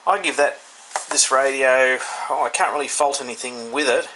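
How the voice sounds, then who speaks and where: A man's voice: mid-range voice, normal speed, pushed and bright, poor audio. An Australian man giving a casual, unscripted product review indoors, thinking aloud in an informal, hesitant way. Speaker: hobbyist radio reviewer. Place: indoors, in a room. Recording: clear background noise, indoor home recording.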